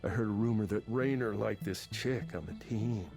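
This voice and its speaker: An actor playing an angry, embittered older tech manager, combative, sarcastic and sweary, in a visual novel game. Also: male voice, on the low side, moderate pace, darkish tone, soft background music, natural voice. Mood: bitter, agitated.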